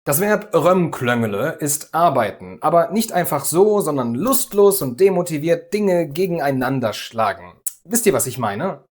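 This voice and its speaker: A man from the Düsseldorf area hosting a language lesson, explaining calmly and clearly in a scripted way. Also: fairly high pitch, moderate pace, few pauses. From Düsseldorf area, Germany. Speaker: language teacher, host.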